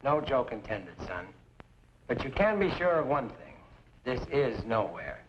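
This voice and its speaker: An actor playing a genial, bookish middle-aged frontier printer in a western drama, talking in a theatrical, poetic manner. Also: male voice, mid-range voice, big pitch swings, measured pace. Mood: genial, philosophical. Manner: theatrical, grandiloquent, quotes poetry and scripture. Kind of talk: old-time western drama acting. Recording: dull lo-fi recording, faint noise.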